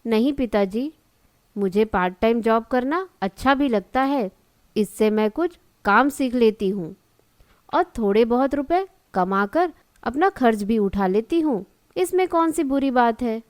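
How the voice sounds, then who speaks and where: A woman voicing a dutiful, gentle college-age daughter in a cartoon story, speaking respectfully and reassuringly. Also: on the high side, lively intonation, unhurried, dry recording. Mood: earnest, reassuring. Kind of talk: Hindi cartoon story dialogue.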